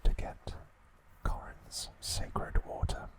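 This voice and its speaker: Whispering man, slow ASMR narration. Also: fairly bright tone. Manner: slow, deliberate, short phrases with pauses. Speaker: ASMR host.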